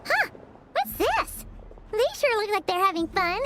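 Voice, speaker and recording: A woman voicing a small, excitable, childlike fairy companion with a squeaky, bubbly voice in a video game. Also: a little slow, exaggerated voice. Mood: curious. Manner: bubbly, third-person self-reference. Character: small, excitable, food-loving floating fairy companion girl. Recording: loud music under the voice.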